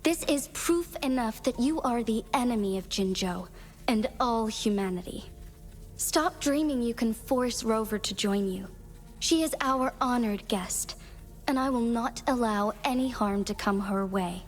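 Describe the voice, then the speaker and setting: A woman's voice: fairly high pitch, unhurried, soft background music, natural voice. An actress playing a dignified, resolute female magistrate in a video game, speaking formally and firmly. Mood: defiant. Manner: formal, composed, firm. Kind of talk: dramatic video game voice acting.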